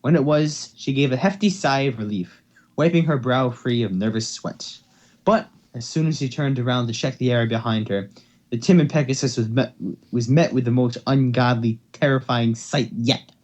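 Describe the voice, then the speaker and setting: A man's voice: mid-range voice, moderate pace, darkish tone. A man hosting an amateur fan fiction reading, who stumbles over words and repeats phrases as he goes. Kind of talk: amateur dramatic story reading.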